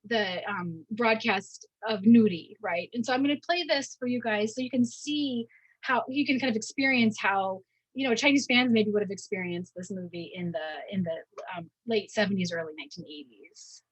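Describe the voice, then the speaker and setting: A woman giving an informal academic lecture, speaking haltingly and often checking in with "right?". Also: medium pitch, slightly rough, dry recording, poor audio. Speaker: university professor giving a lecture.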